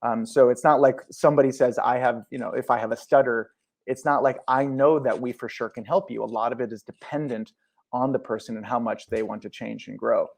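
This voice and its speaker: A man speaking as a podcast guest, earnest and explanatory, often pausing on fillers and restarting his sentences. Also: mid-range voice, fairly even intonation, creaky. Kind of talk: conversational interview.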